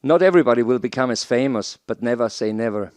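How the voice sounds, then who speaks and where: A man's voice: mid-range voice, animated, fast talker, darkish tone, dry recording. Dry-sounding man, presenting formally. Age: middle-aged. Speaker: presenter, audio educator. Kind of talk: scripted presentation. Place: small home studio, indoors. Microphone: headset.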